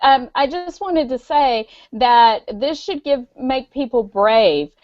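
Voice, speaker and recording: A woman's voice: high-pitched, animated, slow, darkish tone, no echo. A woman guest author speaking earnestly and encouragingly in a casual interview. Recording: dry, dull lo-fi call audio.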